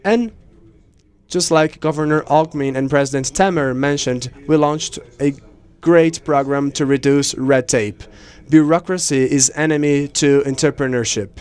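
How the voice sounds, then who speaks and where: A man interpreting conference speeches live, in measured phrases with pauses mid-sentence. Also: fairly high pitch, a little mellow, smooth. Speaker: simultaneous interpreter.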